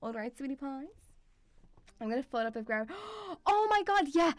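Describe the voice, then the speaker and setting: High-voiced woman, mixed European accent. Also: a little fast, no echo. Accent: mixed European with Scottish influence. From Lithuanian-Russian background, partly grew up in Scotland. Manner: excitable, rambling, sweary. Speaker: livestream host, VTuber. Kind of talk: casual livestream chat.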